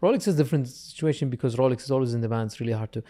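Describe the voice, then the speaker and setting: A man's voice: medium pitch, racing, warm tone. A man hosting a podcast, talking casually and often restarting his sentences. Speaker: podcast host. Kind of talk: casual interview chat.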